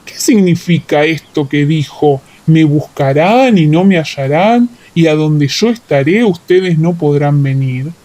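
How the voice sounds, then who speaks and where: A woman's voice: sits low, expressive, a little slow, hardly any pauses, mellow, voice pushed down. A woman voicing a skeptical, accusing man of an ancient crowd in a Bible radio play, with questioning, theatrical delivery. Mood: puzzled, doubting.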